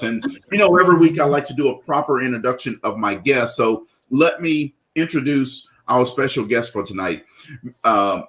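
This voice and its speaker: Male talk show host, middle pitch, upbeat introduction with fillers. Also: fairly bright tone.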